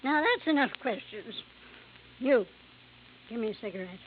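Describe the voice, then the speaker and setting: A woman's voice: a little high, expressive, normal speed, close to an everyday voice. An actress playing a bossy, brusque older woman who talks in a curt, commanding way, in a 1950s radio drama. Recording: tinny lo-fi old radio broadcast with faint hiss.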